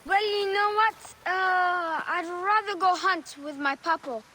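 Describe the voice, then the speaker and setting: A woman's voice: very high-pitched, unhurried, pushed and bright, slight background noise, full character voice. A woman playing a young boy, eager but timid and devoted to his father, in a video game cutscene. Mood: playful.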